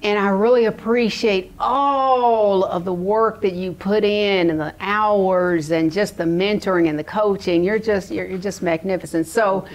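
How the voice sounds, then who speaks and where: A woman's voice: medium pitch, measured pace, few pauses. Effusive woman talk-show host in her fifties. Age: 50s. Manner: gushing, effusive with praise. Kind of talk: enthusiastic talk-show chat.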